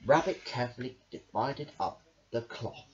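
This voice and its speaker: A woman's voice: extremely low pitch, moderate pace, a little hiss or hum. A woman reading a children's picture book aloud, expressively, as a storyteller. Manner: expressive, with big swoops into character voices. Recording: dull lo-fi home recording.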